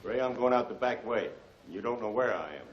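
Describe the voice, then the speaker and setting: An actor playing a brisk, obedient male gangster henchman in a 1940s film serial. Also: mid-range voice, animated, close to an everyday voice. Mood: businesslike. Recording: distant, echoey, muffled 1940s film soundtrack.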